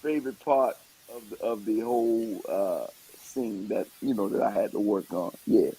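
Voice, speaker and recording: A stage actor in a casual chat, telling about his scene with excitement and animation. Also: lowish voice, normal speed, slight background noise, low-quality recording.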